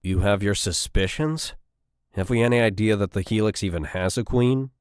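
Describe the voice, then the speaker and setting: A man playing a gruff, commanding army sergeant in an audiobook, hard and impatient. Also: on the low side, normal speed, dry recording, clean studio sound, close to an everyday voice.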